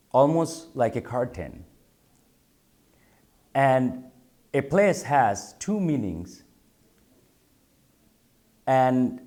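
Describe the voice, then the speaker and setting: A man's voice: mid-range voice, a little mellow. A man from Bangladesh, a photographer talking thoughtfully in an interview, often stopping to repeat words as he searches for them. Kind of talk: reflective interview talk.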